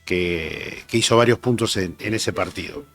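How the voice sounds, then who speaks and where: A man's voice: low-pitched, moderate pace, a bit bright, faint music behind. Argentine man in his sixties, rambling. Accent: Rioplatense Spanish. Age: 60s. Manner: rambling, reminiscing, many "eh" fillers. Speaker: rugby journalist guest. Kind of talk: relaxed anecdotal interview chat.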